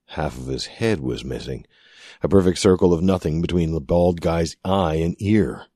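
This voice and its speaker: A man's voice: sits low, moderate pace, warm tone, dry recording, studio quality. A man narrating a piece of fiction from a script as an audiobook-style reader. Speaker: story narrator.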